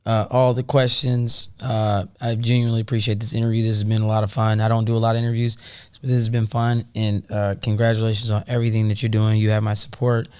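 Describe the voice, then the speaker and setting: A man's voice: lowish voice, flat delivery, fast talker, a little mellow, clear, resonant tone. A man appearing as the guest on a podcast, talking in an upbeat, gracious way and thanking the host politely. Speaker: guest, network founder. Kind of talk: casual podcast interview.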